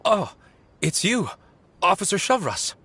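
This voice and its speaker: A man voicing a weary, composed novelist and confessed avenger in a video game, speaking politely in measured, articulate phrases. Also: high-pitched, sing-song, background noise, exaggerated voice. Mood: polite, unruffled. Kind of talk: dramatic video game voice acting. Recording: music behind the voice.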